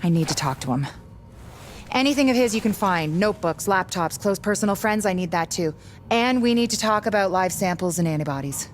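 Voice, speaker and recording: A woman's voice: mid-range voice, expressive, fairly quick, a little hiss or hum, close to an everyday voice. An actress playing a brusque, sardonic woman doctor and driven virus researcher in a video game cutscene. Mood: intense, determined. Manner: sarcastic, blunt, impatient.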